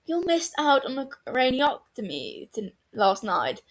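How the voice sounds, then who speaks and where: A woman's voice: high voice, very animated, slow, pitched above the performer's own voice, exaggerated voice. A woman voicing a cheeky young female surgeon who talks in a bouncy, teasing way and swears freely.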